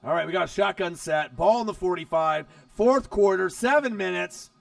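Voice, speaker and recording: A man hosting a casual live stream while watching football, chatty and excitable, his voice hoarse from losing it. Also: sits high, normal speed, dry recording, far from the mic, faint music behind. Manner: excitable, chatty, talks straight to viewers.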